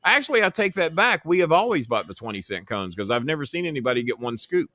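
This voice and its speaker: A man hosting a casual livestream watch-along, rambling and repeating himself, often trailing off quietly. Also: on the high side, very animated, quick pace, dry recording. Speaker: livestream host.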